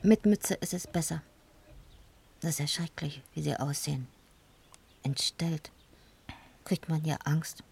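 A woman's voice: deep voice, a little slow, gravelly, long pauses, darkish tone, breathy edge, exaggerated voice. An actress in a radio play playing a blunt, nosy, tactless woman. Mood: appalled, tactless. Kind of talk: German radio play acting.

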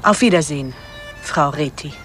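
A woman's voice: sits low, normal speed, music in the background, close to an everyday voice. An actress plays a cool female contract killer in a German radio drama. Her delivery is guarded, dry and terse. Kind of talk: German radio play acting.

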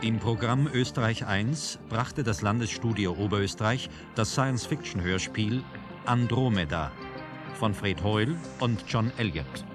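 A man reading credits as a formal radio announcer. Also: on the low side. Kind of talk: formal scripted broadcast announcement. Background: music behind the voice.

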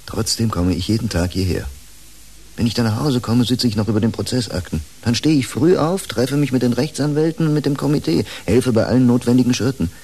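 Man playing a husky, weary psychiatrist. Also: medium pitch, fairly quick, dark tone, lightly acted. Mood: weary, earnest. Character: weary, earnest male psychiatrist, sad and dutiful. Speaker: actor in a radio play. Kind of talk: German radio drama acting.

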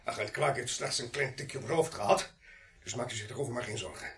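A man's voice: medium pitch, fast, vocal fry, a bit bright. An actor in a Dutch radio play playing a menacing gunman who commands with sardonic courtesy. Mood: threatening, mocking. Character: menacing gunman holding policemen hostage, mockingly polite.

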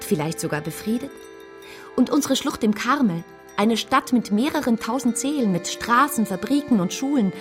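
An actress playing a reflective, hopeful woman who recounts her own story in measured, literary phrasing in a radio play. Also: on the high side, lightly acted. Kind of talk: first-person radio play narration.

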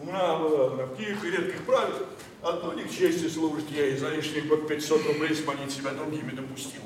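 Actor as a pompous blustering father, high and echoey. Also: fairly quick, a little hiss or hum, close to an everyday voice. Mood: blustering, aggrieved. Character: blustering, grumbling middle-aged widowed nobleman father, pompous. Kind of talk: classical verse stage acting. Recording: very echoey, distant, music behind.

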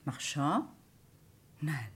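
A woman's voice: sits low, animated, drawn-out words, breathy edge, full character voice. A woman acting a reserved, formal doctor who answers police questioning tersely in a German radio crime drama. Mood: guarded. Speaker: actor.

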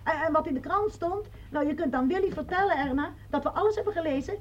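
An actress playing a gossipy, opinionated housewife making emphatic excuses on the telephone in a radio play. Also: female voice, very high voice, fast, highs cut off, a little hiss or hum. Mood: defensive, insistent. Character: chatty, opinionated housewife defending her family to friend. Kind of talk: radio play acting, one side of a phone call.

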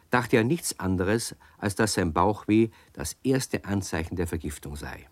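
A man's voice: lowish voice, no room sound. A man narrating a children's radio story, explaining events to young listeners and asking them questions.

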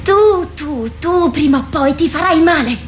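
An actress playing a boastful, protective older sister warrior in a radio drama, teasing and admonishing. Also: female voice, high voice, lively intonation, unhurried, faint music behind.

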